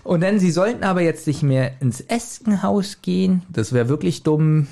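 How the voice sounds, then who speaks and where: A man co-hosting a podcast, casually retelling a story in a jokey, animated way. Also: high-pitched, normal speed, creaky. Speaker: podcast co-host. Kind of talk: casual chat retelling an audio drama episode.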